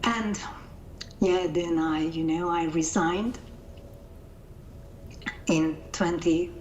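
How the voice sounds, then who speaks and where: Middle-aged Hungarian woman, slightly low voice, unhurried, deliberate interview guest. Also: fairly even intonation, darkish tone, dull audio, slight background noise. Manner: measured, thoughtful, repeats words while searching for phrasing. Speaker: interview guest, coaching founder. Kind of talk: reflective interview answers.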